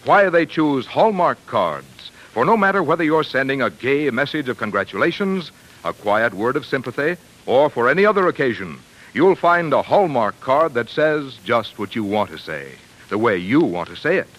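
A man working as a 1940s radio announcer, reading scripted advertising narration in a polished, measured way. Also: medium pitch, big pitch swings, fairly bright tone, low-quality recording.

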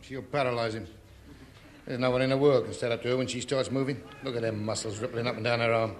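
A man plays a wily fight promoter in an old radio comedy. He has a mid-pitched voice and chummy, confiding patter. The recording has clear background noise. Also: fairly even intonation, fast, lightly acted. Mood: chummy, confident. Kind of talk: 1950s radio comedy acting.

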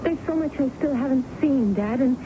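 A woman's voice: high voice, brisk, natural voice. An actress playing a sweet, earnest young woman, a daughter on holiday, in a 1970s American radio drama. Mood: wistful, curious. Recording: dull, noisy 1970s radio broadcast.

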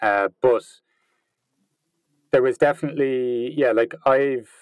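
Guy in his late 30s musing out loud, lots of ums and restarts, smooth clean voice, dry close sound. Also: mid-range voice, fairly even intonation, slow pace, no echo. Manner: thoughtful, hesitant, many restarts and fillers. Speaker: interview guest. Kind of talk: reflective conversational interview.

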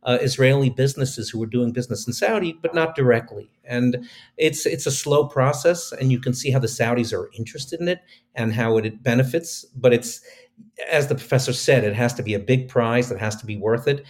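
A man's voice: mid-range voice, brisk. A man speaking as an interview guest, earnest and discursive as he explains his views on business and culture. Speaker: venture capitalist guest.

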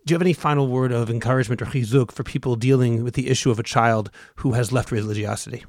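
A man's voice: medium pitch, fast talker, darkish tone, dry recording, clean studio sound. A man hosting a podcast, asking questions and reading the closing remarks fluently. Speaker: podcast host. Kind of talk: podcast interview.

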